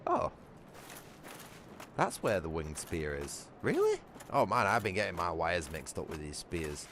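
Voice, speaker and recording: Manchester bloke streaming, low voice, blunt and swears a lot. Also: male voice, wildly swooping pitch, measured pace, fairly bright tone, slight background noise. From England. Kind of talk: casual livestream gaming chat.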